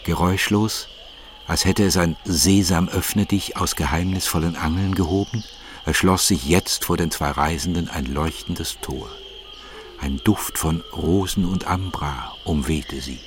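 A man reading scripted literary narration in a measured, formal manner. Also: low-pitched, slight background noise.

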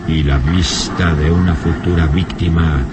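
A man's voice: rumbling low, steady tone, measured pace, heavy background noise. A man narrating an old horror radio serial in an ominous, emphatic, suspenseful style.

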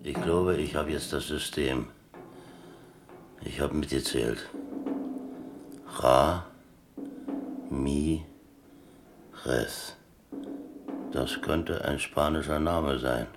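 An actor playing a worldly, plain-spoken prison inmate in a radio drama, explaining things in a colloquial, laconic, matter-of-fact way. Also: male voice, rumbling low, extremely slow, long pauses, music in the background, heavily acted. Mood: wry, philosophical.

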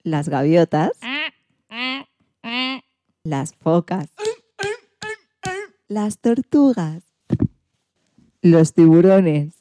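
Woman, children's storyteller, very lively intonation, mellow tone, theatrical delivery, dry close sound. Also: deep voice, drawn-out words, pauses often, no echo. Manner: theatrical, acts out sound effects and exclamations. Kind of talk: expressive children's storybook narration.

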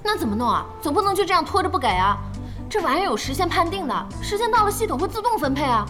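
A feisty young woman running a gaming guild in an audio drama. Her voice sits high and swings widely as she exclaims bluntly. Music is clearly audible underneath. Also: very animated, fast talker. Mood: indignant.

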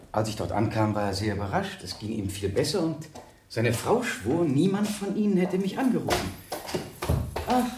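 An actor playing a flustered middle-aged village vicar in a German radio play, formal and agitated, turning stern under pressure. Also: male voice, medium pitch, echoey room, noisy background, natural voice.

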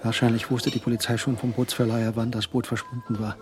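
An actor narrating a tense crime story in a scripted radio drama. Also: male voice, medium pitch, level tone, quick pace, faint music behind, close to an everyday voice. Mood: tense, measured.

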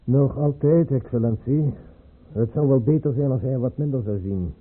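An actor playing a formal, circumspect baron at court in a radio play, speaking politely. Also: male voice, mid-range voice, normal speed, husky, close to an everyday voice. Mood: discreet, polite. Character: discreet, formal male baron at the imperial court. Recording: muffled, narrow-band old radio recording.

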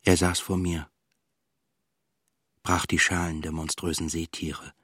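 An introspective composer in a radio play. His voice is low and husky, held very even and close, as he reflects with quiet reserve. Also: no room sound, full character voice. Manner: restrained, reflective. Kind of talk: first-person radio drama monologue.

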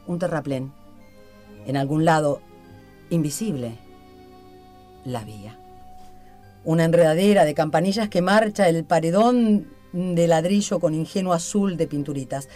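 Casting: radio host, theatrical and literary delivery, mid-range pitch, music under the voice. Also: a little mellow. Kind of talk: theatrical scripted reading and interview hosting.

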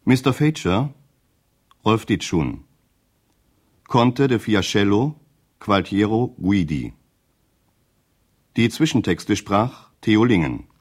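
A man reading credits as a radio announcer in a formal, deliberate style. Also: lowish voice, lively intonation.

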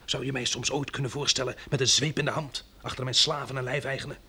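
An actor playing a shrewd farmer and husband in a Dutch radio drama, speaking in a measured, persuasive, slightly husky voice. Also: male voice, medium pitch, level tone, pushed and bright, slight background noise. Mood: urgent, conspiratorial.

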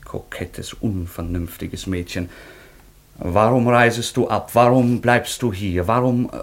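A man's voice: low-pitched, vocal fry, heavily acted. A man acting an earnest, proud young nobleman in love, declaiming in a German classic radio drama. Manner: declamatory, theatrical.

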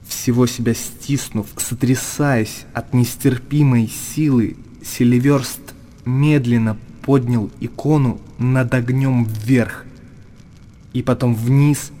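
A man giving a dramatic literary narration for radio, solemn and deliberate, breaking the text into short phrases. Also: medium pitch, fairly even intonation, dark tone, slight background noise. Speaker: narrator reading literary prose.

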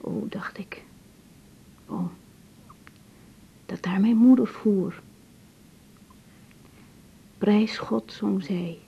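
Hushed young woman doctor, slightly muffled. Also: very slow, creaky, pauses often. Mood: solemn reciting. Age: young adult. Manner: hushed, hesitant, tender. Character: compassionate, troubled young female doctor. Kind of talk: naturalistic 1980s radio drama. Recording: dull, muffled tape with faint rumble.

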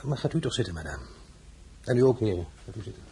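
An actor playing a bitter, war-weary emperor in a radio drama, commanding, sarcastic and formal. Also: male voice, fairly low pitch, very animated, fast talker, creaky, warm tone, a little hiss or hum. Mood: curt, ironic. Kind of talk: Dutch-language radio drama acting.

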